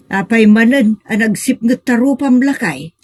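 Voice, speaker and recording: A woman's voice: fairly high pitch, lively intonation, unhurried, dry recording. A woman acting an exasperated, complaining Ilocano family member in a comedic audio drama, with an Ilocano accent.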